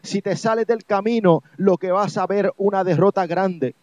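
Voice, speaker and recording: Man, Puerto Rican accent, high voice, evangelical sermon, exhortative repetition, dry close sound. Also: normal speed, a little mellow, no room sound, muffled recording. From Puerto Rico. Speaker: evangelist preacher on a religious program.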